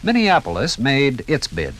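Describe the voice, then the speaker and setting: A man's voice: medium pitch, wildly swooping pitch, a little slow, fairly bright tone, a little hiss or hum. A man giving theatrical, rhetorical scripted narration as the narrator of a 1950s radio documentary.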